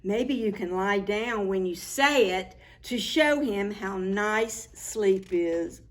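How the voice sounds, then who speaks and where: A woman hosting a preschool story time, reading picture books aloud in an expressive, sing-song way and speaking directly to children. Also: medium pitch, takes it slowly.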